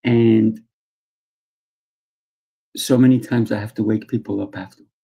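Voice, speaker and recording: A man who teaches martial arts, speaking in a calm, soft guiding way as a podcast guest. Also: fairly low pitch, measured pace, creaky, breathy edge. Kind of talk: conversational interview talk.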